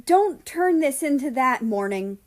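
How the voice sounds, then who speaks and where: A woman's voice: high voice, expressive, unhurried, voice pushed up. Woman as an insistent, pleading guardian spirit, shift+. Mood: insistent, worried. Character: anxious, pleading guardian spirit, pestering gently.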